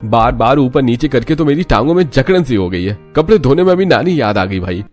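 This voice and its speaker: A man's voice: medium pitch, lively intonation, fairly quick, a little mellow, soft background music, natural voice. A man voicing grown brothers in a cartoon story, bossy and matter-of-fact. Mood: weary. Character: adult brothers running the household, teasing and stubborn.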